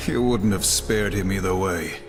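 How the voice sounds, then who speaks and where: A man's voice: sits low, normal speed, soft background music, natural voice. A man playing a stern, dutiful, brooding warrior in a game cutscene, grave and formal. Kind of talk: video game cutscene acting.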